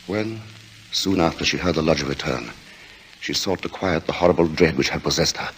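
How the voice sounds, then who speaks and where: A man narrating a suspense story in 1940s radio style, grave and deliberate, with dramatic pauses. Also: a bass voice, a bit bright, a little hiss or hum. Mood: ominous, tense. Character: grave storyteller of a creeping murder mystery.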